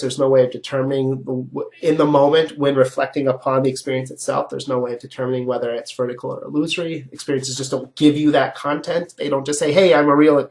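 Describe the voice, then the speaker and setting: A man's voice: mid-range voice, creaky. A man with a stuffy nose giving a casual, explanatory philosophy lecture to students, often asking "right?" as he goes. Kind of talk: recorded online lecture.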